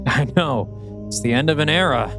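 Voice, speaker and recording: A man's voice: fairly high pitch, animated, fairly quick, lightly acted. A man playing a mild, bemused and slightly unsettled young father in a script read-through. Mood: wry. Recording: music playing behind.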